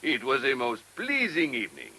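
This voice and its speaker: An actor in a radio drama playing an obliging, urbane judge with an effusive manner. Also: male voice, medium pitch, huge pitch swings, normal speed, bright, forceful tone, a little hiss or hum, heavily acted, rough recording. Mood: genial, assured. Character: genial, obliging German judge backing an alibi.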